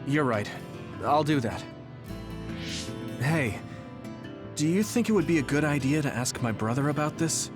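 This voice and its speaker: An actor voicing an earnest, troubled young platoon hero in an English-dubbed anime game, speaking plainly. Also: male voice, fairly high pitch, expressive, a little slow, long pauses. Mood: pensive. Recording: game music behind the voice.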